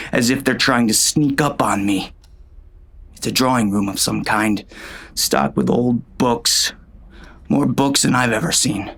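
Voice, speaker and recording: A man playing a gruff, bitter gunslinger who tells his own story in terse, folksy western talk, as dramatic audiobook narration. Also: lowish voice, fairly bright tone, heavily acted. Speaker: audiobook narrator and lead actor.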